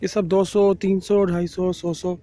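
A man's voice: high-pitched, level tone, unhurried, warm tone. A man filming a casual walk-around vlog at an outdoor street market, chatting with vendors about prices. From Pakistan. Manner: friendly, asks prices, promotes his channel. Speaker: vlogger touring a market.

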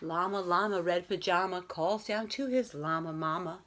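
A woman's voice: lowish voice, normal speed, a bit bright, slight background noise. Middle-aged woman reading to children. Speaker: read-aloud host. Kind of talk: picture-book read-aloud for children. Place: room at home, indoors.

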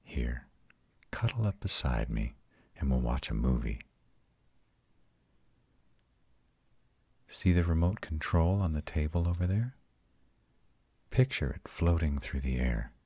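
Ancient male vampire, played by a man, intimate audio roleplay; bottomless bass, dry close sound, tender and reassuring. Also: lively intonation, no room sound, exaggerated voice. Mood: soothing, affectionate. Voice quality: very deep, husky. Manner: soft, intimate, slow reassurance. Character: ancient arrogant male vampire tenderly comforting his mate. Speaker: voice actor.

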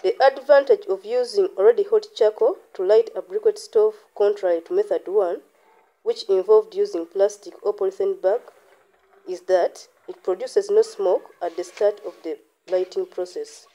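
A young woman explains a task step by step in a calm, instructive way. Her voice is mellow and warm at an ordinary pitch. It is recorded outdoors on a phone. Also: moderate pace, poor audio. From Uganda. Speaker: channel host. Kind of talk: how-to voice-over.

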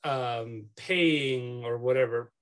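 A middle-aged man from Switzerland with a Swiss accent, interviewing someone over a video call from his home office, talking haltingly with many fillers and restarts. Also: mid-range voice. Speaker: student interviewer. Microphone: laptop webcam on video call.

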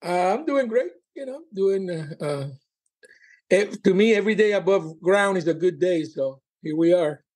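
A man in his sixties chats casually as a podcast guest. His voice is a little high, and he talks in a halting, informal way with a joking streak. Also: expressive, a little mellow. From Colombia and Miami. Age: 60s. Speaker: podcast guest, stand-up comedian. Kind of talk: casual chat.

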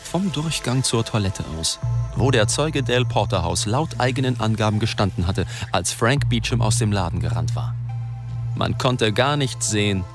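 Low-voiced sardonic reporter narrating , played by a man. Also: animated, over music, close to an everyday voice. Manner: ironic, deliberate. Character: wry, self-mocking male newspaper reporter narrating his story. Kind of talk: first-person radio drama narration.

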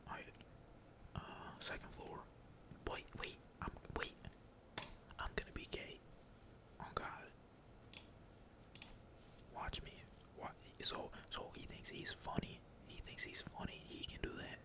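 A person whispering casual, slangy, sweary gaming commentary in a breathy, unvoiced ASMR style. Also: measured pace, pauses often. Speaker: gaming video creator. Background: computer keyboard typing.

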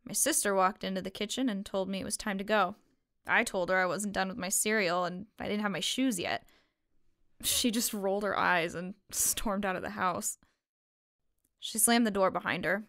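An actress playing a calm, matter-of-fact woman of twenty-two in a fiction podcast. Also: medium pitch, normal speed, lightly acted. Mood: reminiscing. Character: blunt, composed young woman of twenty-two, sister of missing girl. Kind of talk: conversational audio drama acting.

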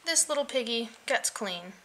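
A woman reads a children's picture book aloud with playful expression. Her voice is bright and fairly high, and she takes her time over the words. There is some light noise in the recording. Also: normal speed. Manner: expressive, playful, with vocal sound effects.

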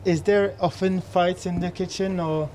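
A man's voice: high-pitched, steady tone, moderate pace, a little mellow, slight background noise. A young man born in Haiti, presenting a cooking show and asking short, curious questions. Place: large teaching kitchen studio.

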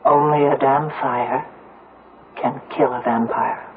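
A woman's voice: a bass voice, fairly even intonation. Tinny old radio, anguished village woman. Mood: horrified. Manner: dramatic, halting delivery. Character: anguished village woman, daughter of a suspected vampire. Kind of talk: 1970s radio mystery acting. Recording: muffled, narrow-band old radio broadcast.

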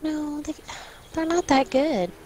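A woman's voice: high voice, animated, slow pace, warm tone, slight background noise. A woman chatting casually as co-host of a co-op gameplay video. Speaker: co-host gamer. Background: music.

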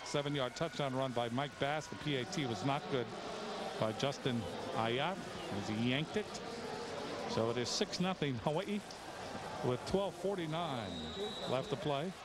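A man's voice: mid-range voice, unhurried. A man calling live college football play-by-play, reeling off player names and statistics. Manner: steady, reels off names and statistics. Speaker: play-by-play announcer. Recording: noisy old TV broadcast audio.

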